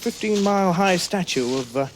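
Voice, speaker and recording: A diffident, bewildered everyman speaks hesitantly and with understatement. The radio comedy voice moves widely in pitch over clear background noise. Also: animated, moderate pace. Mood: earnest, awkward. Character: diffident, mildly bewildered everyman space traveller. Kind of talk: radio comedy drama acting.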